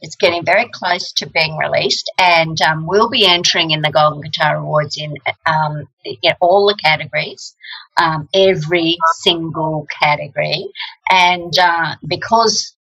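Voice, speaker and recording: A chatty woman with a husky voice talks as a guest on a video call. Her voice is lowish for a woman yet bright, and she jokes freely. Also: fairly even intonation, measured pace, low-quality recording. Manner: joking, chatty, laughs often. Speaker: singer-songwriter guest. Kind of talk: casual video-call chat.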